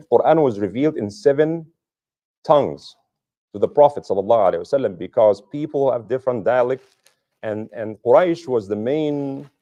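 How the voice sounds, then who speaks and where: A man's voice: medium pitch, warm tone, highs cut off. A man giving a mosque lecture as imam, explaining patiently with rhetorical questions and repeating key points for emphasis.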